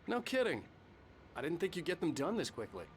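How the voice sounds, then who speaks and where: An actor playing a moody husband, a writer in a thriller video game. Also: male voice, fairly high pitch, wildly swooping pitch, brisk, slight background noise. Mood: playful. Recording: distant game audio under music.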